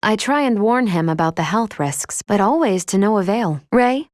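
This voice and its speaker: A woman voicing a polite, analytical female android in a video game, with formal, measured diction and a caring, fussy manner. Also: mid-range voice, animated, no echo, studio quality, lightly acted. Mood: curious, matter-of-fact.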